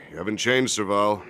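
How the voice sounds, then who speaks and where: A man playing a formal, dutiful guard captain in a video game, stiff and sometimes halting. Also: lowish voice, bright, forceful tone, slight background noise. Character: dutiful male guard captain, flustered by old friend, stern with subordinates.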